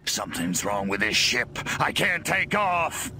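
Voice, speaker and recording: A man voicing a cocky, wisecracking alien soldier in a video game, gravelly, with a sardonic, irreverent drawl. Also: medium pitch, sing-song, a little slow, bright, forceful tone, slight background noise, exaggerated voice. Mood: irritated. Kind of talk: video game cutscene acting.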